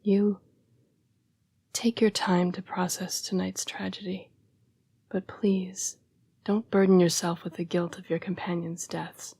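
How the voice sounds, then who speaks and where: A young female vampire, acted by a woman, speaks in a soft, breathy half-whisper with little rise or fall. She is gentle, earnest and apologetic, halting as she fights her bloodlust. The delivery is an intimate, dramatic audio roleplay monologue. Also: lowish voice, flat delivery. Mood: gentle, consoling.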